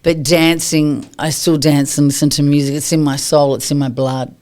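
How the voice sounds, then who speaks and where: A woman from Australia with a husky voice, a yoga teacher chatting as a guest in a podcast studio. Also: low-pitched, flat delivery, moderate pace, darkish tone. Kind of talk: casual podcast interview.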